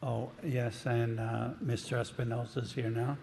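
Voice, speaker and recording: A man with a Cuban accent chairing a planning commission meeting, talking plainly and pausing on fillers at a desk microphone. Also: medium pitch, flat delivery, vocal fry, a little mellow. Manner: plain, unhurried, halting with fillers. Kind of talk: running a public meeting. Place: public meeting chamber. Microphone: desk microphone up close. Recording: long hall reverb, faint room noise.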